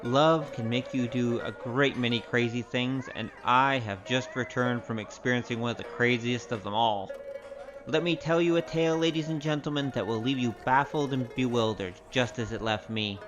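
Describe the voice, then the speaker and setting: A man's voice: medium pitch, normal speed, highs cut off, lightly acted. A male actor playing a roguish, wry storyteller with a grandiose, theatrical manner in a comic audio drama. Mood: grand, confiding. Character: roguish, boastful storyteller, wry poor adventurer. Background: music.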